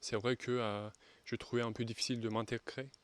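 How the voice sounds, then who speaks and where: A man's voice: medium pitch, flat delivery, a little fast. A man in his twenties from Turkey with a Turkish accent, a volunteer speaking French hesitantly with frequent fillers. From Istanbul, Turkey. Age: 20s. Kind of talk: interview testimony.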